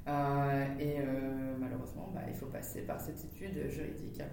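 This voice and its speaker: Animated woman in her thirties, distant echoey mic. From Netherlands. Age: 30s. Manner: animated, laughs often, talks fast in bursts. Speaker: startup founder, podcast guest. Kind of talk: casual interview storytelling. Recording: echoey room, distant from the mic, faint rumble.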